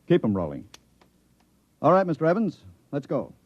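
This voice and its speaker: An actor playing a brisk, duty-bound chief engineer with a clipped, authoritative manner in a 1950s radio drama. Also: male voice, a little high, huge pitch swings, background noise. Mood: brisk, confident. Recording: dull, muffled 1950s radio recording.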